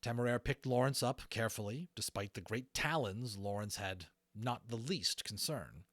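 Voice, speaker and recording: A man narrating a fantasy audiobook in an animated storytelling style, with informal asides. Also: medium pitch, moderate pace, no echo, clean studio sound.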